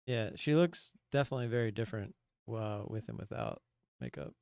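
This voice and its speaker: A man's voice: fairly low pitch, huge pitch swings, a little fast, gravelly, a little mellow, no echo, studio quality. A man hosting a live trading stream, chatting casually in a laid-back, rambling way and laughing easily. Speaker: stream host.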